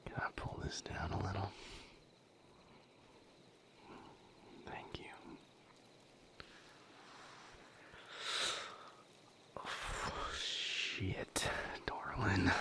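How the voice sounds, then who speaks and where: A man playing a protective, tender boyfriend in an intimate audio roleplay, speaking gently and earnestly in a breathy, creaky near-whisper. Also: sits low. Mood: worried, gentle. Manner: slow, gentle, earnest, firm. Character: protective, tender male boyfriend tending his hurt partner.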